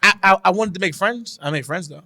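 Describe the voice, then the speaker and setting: Young man, slightly high voice, dry close sound, candid and reflective. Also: fast, sharp tone, dry recording. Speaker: podcast co-host. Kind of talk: casual podcast chat.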